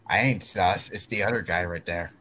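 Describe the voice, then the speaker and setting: A man's voice: sits low, moderate pace, a crowd in the background. A man bantering casually in an online group voice chat.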